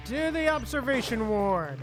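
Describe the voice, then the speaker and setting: A man's voice: extremely high pitch, sing-song, unhurried. A man giving casual gameplay commentary as a streamer, muttering short, laid-back reactions. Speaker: streamer playing a game. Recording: game music behind the voice.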